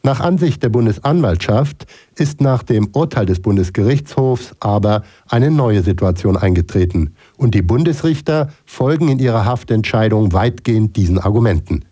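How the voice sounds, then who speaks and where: A man's voice: mid-range voice, mellow, breathy edge. A man with a standard German accent from Germany, reading a scripted report as a radio news correspondent.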